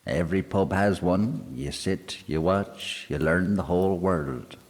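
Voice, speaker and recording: A man's voice: deep voice, measured pace. A man voicing a pompous forties politician. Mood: boastful. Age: 40s. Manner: pompous, boastful, self-satisfied. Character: proud middle-aged male politician, barrister. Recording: long hall reverb.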